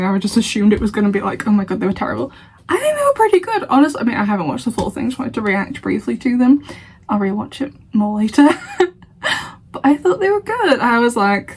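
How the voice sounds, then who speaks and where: Young northern English woman, Lancashire accent, slightly husky and higher-pitched, chatty reaction vlogger who laughs a lot. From Lancashire, England. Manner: chatty, laughs often, playfully indignant. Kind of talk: casual reaction chat to camera.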